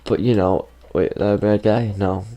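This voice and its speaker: A man's voice: lowish voice, steady tone, measured pace, mellow. A fifteen-year-old boy telling a rambling story over gameplay, full of fillers, while losing his voice. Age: teens. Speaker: gaming YouTuber.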